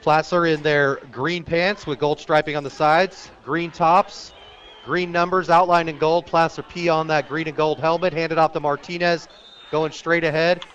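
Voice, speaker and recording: A man working as a radio sportscaster, calling high school football live in clipped, descriptive phrases that turn excited on big plays. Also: moderate pace, highs cut off, slight background noise. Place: outdoor stadium, live radio broadcast.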